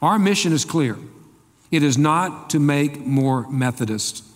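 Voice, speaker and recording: A man's voice: a little high, animated, measured pace. Man preaching in a reverberant hall. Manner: folksy and joking, with playful asides to the congregation. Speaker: pastor. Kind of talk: sermon. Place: church sanctuary. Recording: long reverb of a large hall.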